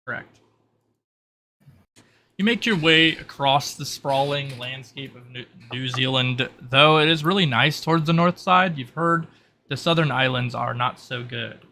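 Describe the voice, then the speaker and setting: A man with a bright, forward voice at a middle pitch narrates a tabletop game as its game master. His delivery is casual and conversational. Some noise sits behind him. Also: a little slow. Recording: clear background noise.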